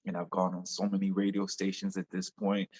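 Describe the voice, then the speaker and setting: A man with a Nigerian accent speaks in a lowish voice. He is a music artist guest chatting eagerly in an interview, often repeating his words. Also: monotone, brisk, a little mellow. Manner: enthusiastic, repeats words as he talks. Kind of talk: casual interview chat.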